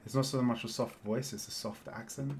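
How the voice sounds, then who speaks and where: A man's voice: mid-range voice, steady tone, a little fast, darkish tone, a little hiss or hum. A man with a South London accent chatting casually as he plays a game, rambling and often laughing. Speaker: Minecraft let's-play host. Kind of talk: casual chat while playing.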